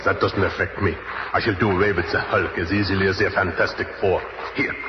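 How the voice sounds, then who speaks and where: Deep, gloating radio villain, played by a man. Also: fairly even intonation, brisk, natural voice. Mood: cold threatening. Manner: smug, taunting, formal. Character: gloating, sinister male saboteur villain. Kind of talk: 1970s radio drama acting. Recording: echoey, noisy old radio recording.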